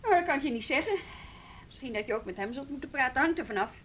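A woman's voice: sits high, animated, fast, fairly bright tone, a little hiss or hum. A woman acting a firm, patient, businesslike juvenile police detective in a naturalistic radio drama. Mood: urgent, businesslike.

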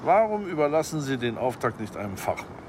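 A man's voice: mid-range voice, very animated, brisk, a little hiss or hum, natural voice. An actor in a radio play voicing a businesslike male arms expert and professional killer, methodical and formally polite in his instructions. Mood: probing, sceptical.

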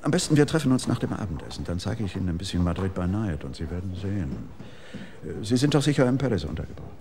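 Ein Schauspieler spielt einen eifrigen, redseligen Regieassistenten, der sich anbiedert. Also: male voice, deep voice, quick pace, a little hiss or hum. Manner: pushy, excitable, name-dropping. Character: eager talkative male assistant director, ingratiating. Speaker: would-be assistant director.

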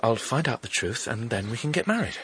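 An actor playing an earnest, devoted young suitor in a radio drama, sincere and a little hesitant. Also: male voice, medium pitch, very animated, brisk, fairly bright tone, slight background noise. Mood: earnest, reassuring.

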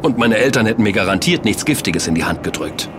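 An actor playing a sceptical, excitable man who protests in colloquial, incredulous dialogue in an audio drama. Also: on the low side, fast talker, noisy background, natural voice. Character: sceptical, excitable male sugar lover named Kim.

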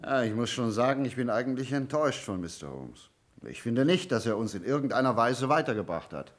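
A haughty colonel who owns racehorses, played by a man in a radio play. His voice sits at medium pitch, sceptical and doubting. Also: sing-song, brisk, natural voice. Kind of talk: German radio play acting.

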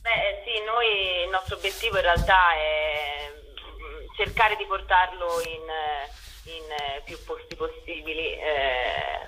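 A young adult with a bright, clear-edged voice gives interview answers as a theatre director. The delivery is hesitant, with the speaker feeling for the right word. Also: medium pitch, takes it slowly, poor audio. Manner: hesitant, searching for words.

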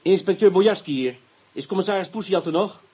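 An actor playing a brisk, wry police inspector with a Dutch accent in a radio drama. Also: male voice, sits high, a little mellow, slightly rough. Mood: businesslike. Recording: dull, noisy radio play recording.

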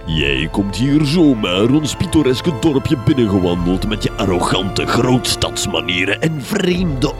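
A pompous mad doctor in a gothic comedy radio play, with a Flemish accent. He orates grandly and unhurriedly, his pitch swooping theatrically, with prominent music behind him. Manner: grandiose, declamatory, orating.

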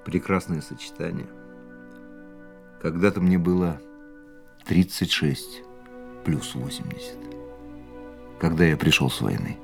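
An actor in a radio drama playing a weary, haunted war veteran of about forty, reflective and measured, with brooding pauses. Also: male voice, a bass voice, creaky, heavily acted. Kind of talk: radio drama acting, dialogue and monologue. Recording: studio radio play with music behind.

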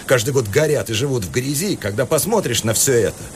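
Actor as earnest, exasperated professor. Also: male voice, mid-range voice, animated, fairly quick, noisy background, natural voice. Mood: earnest, lecturing. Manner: lecturing, indignant, articulate. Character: earnest, exasperated, cultured Moscow university professor. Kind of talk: Russian radio play acting.

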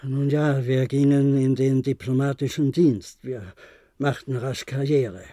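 Resigned older diplomat, mellow voice played by a man. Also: mid-range voice, steady tone, dry recording, clean studio sound, lightly acted. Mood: grave. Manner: measured, reminiscing, formal. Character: resigned, reflective former diplomat, aging bunker survivor. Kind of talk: German radio play acting.

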